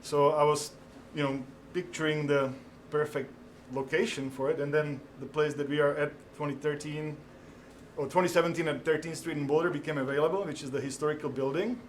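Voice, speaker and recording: A man's voice: on the high side, fairly even intonation, slight background noise. A middle-aged man from the Czech Republic with a Czech accent, a restaurant owner talking casually in an interview with many fillers. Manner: halting, many fillers, laughs easily.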